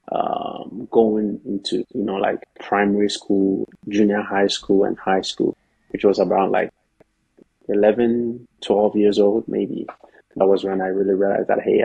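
A man from Ghana with a low, gravelly voice that stays quite flat. He speaks as a guest on a video call, calm and measured, leaning on "you know" between thoughts. The tone is dark and a little husky. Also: rough recording. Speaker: guest, professional soccer player. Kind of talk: casual interview talk. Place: indoors, bright room at home. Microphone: video call, laptop or phone camera.

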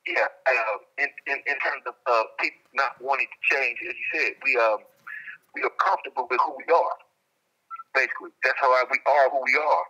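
A man's voice: mid-range voice, a little fast, sharp tone. A man being interviewed over a telephone line, explaining his reflections emphatically and repeating phrases. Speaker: interviewed guest, prison inmate calling.

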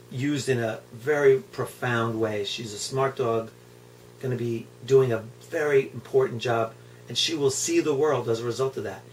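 A middle-aged man talking casually and reflectively to a camera, emphatic and repeating words for effect. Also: mid-range voice. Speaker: guide dog puppy raiser. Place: indoor room at home, seated on floor. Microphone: camera mic a few feet away. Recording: distant camera mic, hum and background noise.

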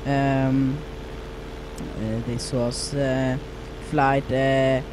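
Slow, halting Swedish-accented young man. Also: medium pitch, flat delivery, noisy background. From Sweden. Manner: rambling, halting, many fillers and restarts. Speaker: gaming YouTuber. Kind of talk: casual gameplay commentary.

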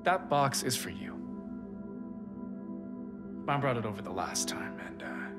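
Exasperated young man, swinging pitch. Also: very animated. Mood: guarded, resigned. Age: young adult. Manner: halting, exasperated. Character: exasperated, guarded young man, the vigilante's nephew. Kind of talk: video game cutscene acting. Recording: music behind the voice. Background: cinematic music.

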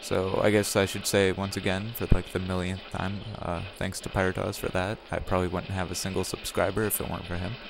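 A young man with a slightly raspy voice, giving casual commentary over his own gameplay footage and often correcting himself as he talks. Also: low voice, little pitch movement, brisk, few pauses, background noise. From Wisconsin, USA. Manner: rambling, self-correcting, chatty. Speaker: gaming channel host. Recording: music playing behind the voice.

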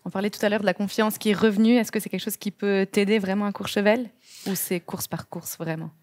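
Une jeune femme qui coanime une émission télé et enchaîne des questions rapides d'un ton vif. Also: female voice, mid-range voice, steady tone, a little mellow, no echo, clean studio sound. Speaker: co-host. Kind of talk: TV interview, quick-fire questions. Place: TV studio set.

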